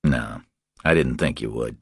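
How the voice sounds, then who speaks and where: A man's voice: rumbling low, unhurried, breathy edge, no echo, studio quality, pitched below the performer's own voice, heavily acted. A man voicing a tough, commanding male drifter in an audiobook, terse, controlled and taunting. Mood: dry, taunting. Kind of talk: audiobook character dialogue.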